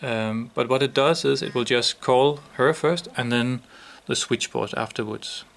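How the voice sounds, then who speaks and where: Gravelly man demonstrating a product. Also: medium pitch, measured pace, fairly bright tone.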